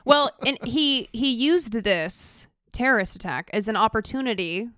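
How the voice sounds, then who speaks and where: A woman's voice: on the high side, measured pace, pushed and bright, smooth, no echo, clean studio sound. An American woman co-hosting a podcast, talking through politics in casual chat. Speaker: podcast co-host.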